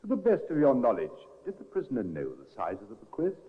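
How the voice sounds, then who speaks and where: An actor playing a prosecuting barrister who questions witnesses formally in a 1950s radio drama. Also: male voice, mid-range voice, wildly swooping pitch, fast. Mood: formal questioning. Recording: tinny old radio broadcast with reverb.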